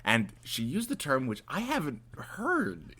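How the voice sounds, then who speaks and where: A man with a Canadian accent hosting a casual chat in a jokey, halting way. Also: on the high side, wildly swooping pitch, bright, forceful tone, husky. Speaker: podcast host.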